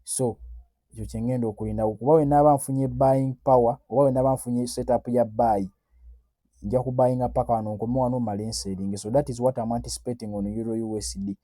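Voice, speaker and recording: A man's voice: medium pitch, steady tone, fast talker, mellow, dry recording. A Ugandan man with a Ugandan accent giving a casual forex chart breakdown, mixing Luganda and English in a chatty, boastful way. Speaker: forex trading analyst. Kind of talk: casual screen-recorded chart analysis.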